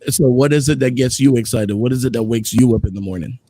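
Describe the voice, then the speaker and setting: Mellow middle-aged man hosting an interview. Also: medium pitch, fast, dry recording. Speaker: host, interviewer. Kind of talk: casual interview chat. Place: video call. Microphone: close desktop microphone.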